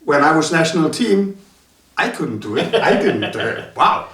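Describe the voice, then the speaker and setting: A man's voice: a little high, big pitch swings, fairly bright tone. Man, distant roomy mic. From Denmark. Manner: halting, many filler sounds. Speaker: martial arts instructor. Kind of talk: casual interview talk.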